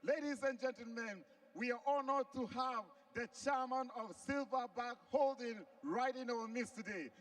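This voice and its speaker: A man's voice: very high-pitched, normal speed, few pauses. A man hosting a live fight event, interviewing a guest with polite, eager "sir" and "please". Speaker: ringside interviewer and host. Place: arena. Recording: distant mic at a live event.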